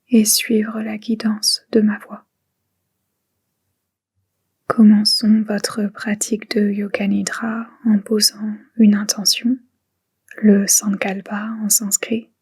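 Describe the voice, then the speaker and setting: A woman guiding a relaxation meditation in a breathy, whispery voice, soothing and hushed, in short spaced phrases. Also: medium pitch. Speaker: guided meditation instructor. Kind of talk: guided relaxation meditation, soft and unhurried.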